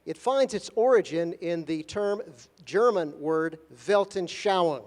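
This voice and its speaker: Man, high voice, formal lecture, measured delivery, dry wit, long hall echo. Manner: measured, deliberate, with gentle humor. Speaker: guest lecturer at a conference. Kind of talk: formal lecture paused for consecutive interpretation.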